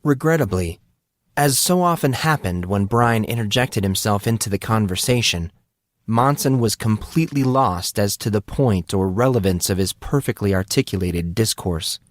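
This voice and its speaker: A man narrates a fantasy story in a fairly low voice. His delivery is scripted, measured and expressive. The sound is dry, with hardly any room on it. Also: dry recording.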